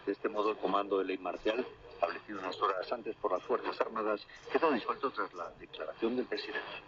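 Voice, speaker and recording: A male radio newsreader delivers scripted headlines and reports in a formal, measured way. His voice is on the low side. It comes through a dull, lo-fi shortwave reception that makes him sound far off. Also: crowd noise. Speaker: radio news presenter. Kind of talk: scripted news bulletin reading. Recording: muffled shortwave radio reception, distant, crackly.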